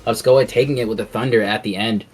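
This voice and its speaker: A man with a lowish voice casts a video game match live. His commentary is casual and loose, circling back to repeat phrases. Faint music sits behind him. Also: steady tone, fast talker, poor audio. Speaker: esports commentator.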